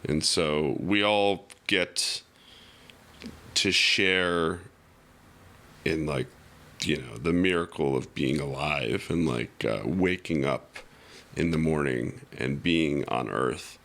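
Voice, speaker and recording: Low, creaky-voiced man musing slowly. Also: fairly bright tone. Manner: halting, musing, filler-heavy. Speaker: podcast guest. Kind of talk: reflective casual conversation.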